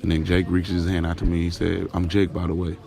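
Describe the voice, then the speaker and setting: Man playing a very low, gravelly storyteller. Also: monotone, fast talker, warm tone. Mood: casual recounting. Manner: informal, slangy, acting out dialogue. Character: Jalen, smitten male driver recounting his night candidly. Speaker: narrator and lead character of an audio drama. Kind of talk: conversational first-person storytelling in audio drama.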